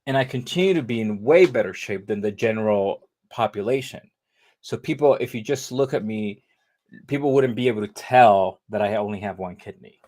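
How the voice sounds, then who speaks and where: A man's voice: on the low side, normal speed, darkish tone. A man in his thirties talking casually as an interview guest, rambling with many fillers and restarts. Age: 30s. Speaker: interview guest, living kidney donor.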